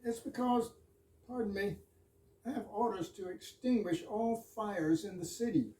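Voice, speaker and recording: A woman's voice: medium pitch, measured pace, warm tone, slight background noise, close to an everyday voice. A woman in an amateur stage reading, playing a polite, earnest fire chief in a hesitant, apologetic way. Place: echoey room at a live readers theater. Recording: echoey room, distant microphone.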